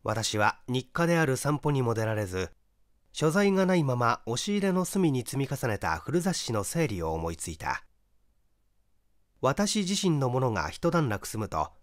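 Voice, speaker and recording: Male narrator, literary mystery reading, expressive, widely varied intonation, very dry recording. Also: mid-range voice, dry recording. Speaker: audiobook narrator.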